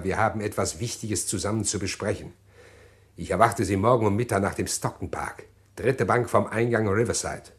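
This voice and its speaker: An actor playing a scheming, self-pitying husband who recounts his crime in a German radio play, deliberate and theatrical. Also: fairly low pitch, natural voice. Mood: reflective.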